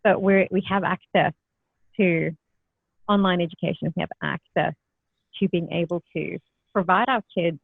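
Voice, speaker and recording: A woman's voice: fairly low pitch, vocal fry, husky, dry recording. A woman hosting an interview in a casual, conversational way, thinking aloud as she frames her questions. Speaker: podcast host. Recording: thin phone-like audio, dry.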